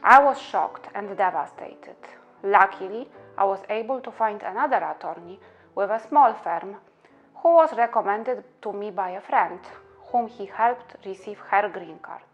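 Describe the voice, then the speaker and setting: Earnest Polish-accented woman attorney, measured scripted delivery, muffled audio with soft music bed. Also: mid-range voice. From Poland. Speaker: immigration attorney. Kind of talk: scripted promotional monologue.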